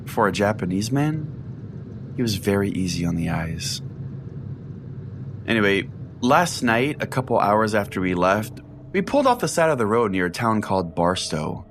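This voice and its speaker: A man's voice: lowish voice, expressive, normal speed, background noise. A man playing a self-important, sardonic conspiracy-preaching pastor who rambles chattily as host of an audio-drama show. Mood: smug storytelling. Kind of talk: scripted audio-drama monologue as a talk show.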